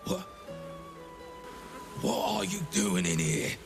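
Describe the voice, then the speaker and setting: Wary dim zombie beggar from a fantasy game, croaky slow voice, music clearly behind. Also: male voice, lowish voice, wildly swooping pitch, exaggerated voice. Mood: wary, grumbling. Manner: slow, halting, territorial. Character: wary, slow-witted runaway male zombie beggar, grumpy. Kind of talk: cinematic fantasy game dialogue.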